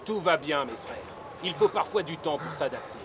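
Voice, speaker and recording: Man playing laid-back, high-voiced sporty guide. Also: expressive, normal speed, noisy background, lightly acted. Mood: easygoing. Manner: easygoing, reassuring. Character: laid-back, encouraging male team guide, calls everyone brother. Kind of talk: French-dubbed children's cartoon acting.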